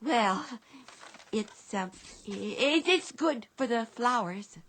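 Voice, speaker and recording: An actress playing a timid, needy, apologetic woman with a halting, hesitant manner in a radio drama. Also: on the high side, animated, fairly bright tone, a little hiss or hum. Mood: nervous, polite. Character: timid, needy, apologetic poor female customer.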